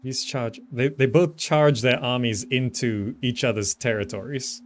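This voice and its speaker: A man's voice: medium pitch, steady tone, unhurried, a little hiss or hum. A man giving casual gameplay commentary, thinking aloud as he plays. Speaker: gaming video host.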